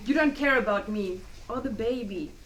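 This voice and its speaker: A woman acting a snobbish, fiery pregnant Cuban wife in a dramatic play reading, expressive, with Spanish exclamations mixed in. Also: fairly high pitch, moderate pace, natural voice. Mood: pleading. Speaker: student actor. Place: indoor room, live play reading. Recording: echoey room with faint background noise.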